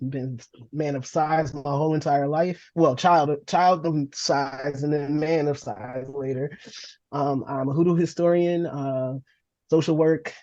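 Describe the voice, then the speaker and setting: A man from Chicago, a panel guest introducing himself quickly and with fillers. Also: mid-range voice, mellow, no room sound, muffled recording. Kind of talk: casual discussion.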